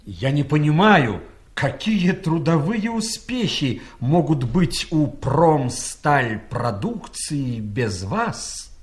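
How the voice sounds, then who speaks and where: A self-important ministry official in an audio satire. He is very slow and ceremonious, with a raised voice that swoops as he drawls out each phrase. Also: fairly high pitch, very animated. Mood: ponderous jest. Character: self-important male ministry official making ponderous jokes. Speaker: actor in an audio play.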